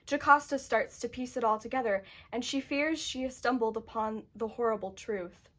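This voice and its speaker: A woman's voice: a little high, moderate pace, a bit bright. A woman narrator reading scripted dramatic storytelling for a puppet show.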